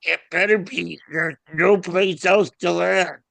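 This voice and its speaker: A man playing a weary, gentle luckdragon in a script read, speaking in a dry, understated way. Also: high-pitched, fairly even intonation, takes it slowly, gravelly, no echo, full character voice. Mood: weary, grave. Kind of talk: table read of a film script.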